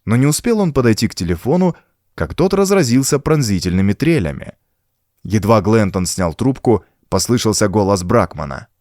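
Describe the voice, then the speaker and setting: A man's voice: lowish voice, very animated, moderate pace, darkish tone, dry recording, studio quality. A man reading a horror story as an audiobook narrator, in a dramatic scripted style.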